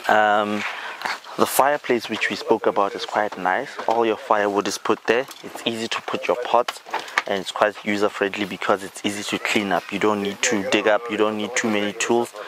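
A man's voice: lowish voice, fairly even intonation, moderate pace. A man hosting a vlog, casually walking through an outdoor campsite and explaining it with easy fillers, recorded on a handheld camera outdoors. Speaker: vlog host. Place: outdoor campsite in dry bush. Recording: outdoor camera audio with clear noise.

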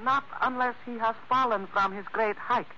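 A man's voice: extremely high pitch, steady tone, normal speed, bright, forceful tone, full character voice. A man playing a servile henchman with ornate, formal, obsequious phrasing in a 1940s radio drama. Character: servile, flowery-tongued Chinese male henchman. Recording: muffled, noisy 1940s radio recording.

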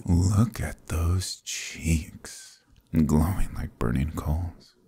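Brief: man playing a flirtatious demon prince, very deep slow murmur, husky breathy close-mic half-whisper, teasing and commanding. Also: warm tone, heavily acted. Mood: seductive. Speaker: voice actor in audio roleplay. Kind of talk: ASMR roleplay, close intimate murmur.

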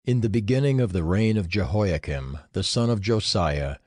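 A man's voice: lowish voice, expressive, moderate pace, a little mellow, no room sound, clean studio sound. A man narrating scripture from a script as the storyteller of an audio drama.

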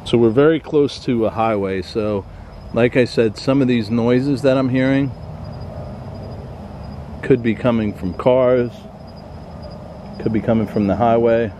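A man hushed and uneasy, talking quietly to his viewers while alone in dark woods at night, recorded on a handheld camera mic. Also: medium pitch, measured pace, long pauses, a little hiss or hum. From Florida. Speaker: paranormal explorer vlogger. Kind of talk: hushed solo night exploration vlog. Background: crickets and insects at night.

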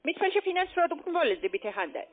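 A woman with a foreign accent giving a phone sales pitch as a call center agent, pushy and blunt. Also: big pitch swings, fast. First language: not German. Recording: tinny narrow phone line.

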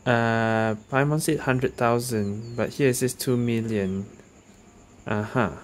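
A man's voice: mid-range voice, steady tone, slow, a little hiss or hum. A man giving casual playthrough commentary as a gamer host, reading choices aloud.

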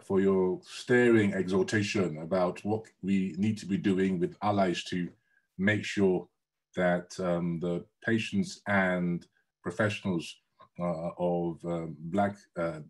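A middle-aged man with a lowish voice, whose first language is Yoruba, introducing a panelist formally. He is measured and respectful and pauses often with "uh". The voice comes through a video call from a room at home. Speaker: panel moderator.

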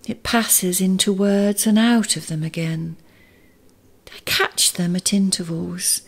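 A woman's voice: mid-range voice, darkish tone. A woman voicing a kindly male water rat who talks in a languid murmur.

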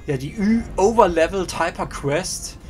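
A young man with a fairly high voice cracks dry, sarcastic jokes while playing a co-op game. Loud game music runs behind him. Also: lively intonation, moderate pace. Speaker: co-op player. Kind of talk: casual co-op gaming chat.

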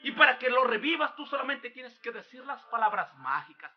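A performer voicing a swindling male preacher, delivering a grandiose, booming mock sermon on a dull lo-fi recording. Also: big pitch swings, fairly quick. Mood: preachy. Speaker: comic character pastor.

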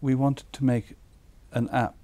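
Mellow-voiced thoughtful male publisher. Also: medium pitch, level tone, measured pace. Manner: thoughtful, repeats words while searching. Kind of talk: interview remarks.

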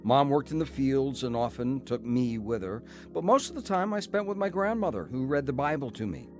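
A man's voice: medium pitch, lively intonation, normal speed, soft background music, close to an everyday voice. An actor playing a wounded man who plainly and reflectively recalls his troubled farm boyhood in a radio drama testimony. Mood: reflective, hurt.

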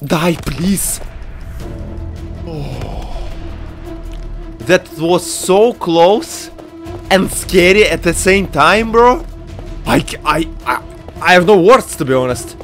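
A man hosting a gaming video with excited, exclamatory commentary, stammering and peppering his talk with slang. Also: a little high, slow, long pauses, faint music behind.

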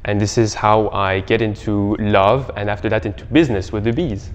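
A young man giving halting, explanatory answers as an interview guest. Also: fairly low pitch, steady tone. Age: young adult. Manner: halting, hesitant phrasing. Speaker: startup co-founder, interview guest. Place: bright indoor living room. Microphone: clip-on lapel mic. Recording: muffled, reverberant room.

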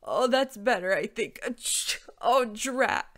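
A woman's voice: high voice, expressive, moderate pace, a bit bright, pitched above the performer's own voice. A woman voicing a fussy but kindly old male dragon in a storybook, with blustery, old-fashioned exclamations. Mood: flustered, irritable. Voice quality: congested, sneezy.

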